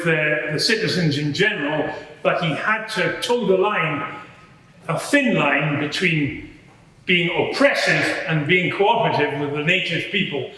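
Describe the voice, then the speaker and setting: Man acting a bright-voiced Roman officer, echoey hall. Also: a little high. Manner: measured, explanatory, slightly hesitant. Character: Roman soldier officer, matter-of-fact witness at an inquiry. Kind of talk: dramatised courtroom testimony before a live audience. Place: large courtroom in a public building.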